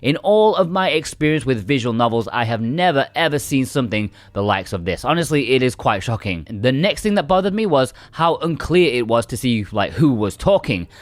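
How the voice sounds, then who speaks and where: A man reads a scripted game review with a very animated, varied intonation. His voice sits at a medium pitch and his delivery is conversational and emphatic. The sound is dry, with little room echo. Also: fairly quick, hardly any pauses.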